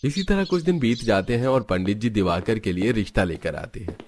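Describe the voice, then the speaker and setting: A man's voice: lowish voice, lively intonation, fairly quick, darkish tone, a little hiss or hum. A man reading scripted narration for a family drama cartoon story.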